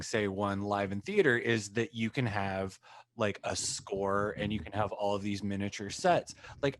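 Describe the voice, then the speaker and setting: Slow, low-voiced man asking a question. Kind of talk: conversational press question.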